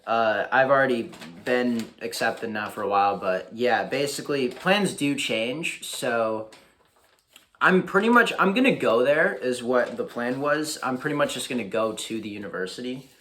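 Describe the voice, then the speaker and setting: A young American man chatting casually to his viewers in a halting, informal way, thinking aloud as he goes. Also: mid-range voice, lively intonation, a bit bright, slight background noise. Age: young adult. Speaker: YouTuber. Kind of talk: casual vlog chat to viewers. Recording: music playing behind the voice. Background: background beat music.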